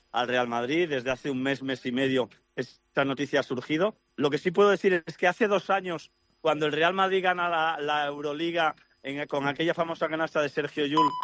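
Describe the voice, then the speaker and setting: A man with a Spain Spanish accent reporting live for a sports radio show, talking in a chatty, animated way as he walks outdoors through a city. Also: on the high side, no room sound.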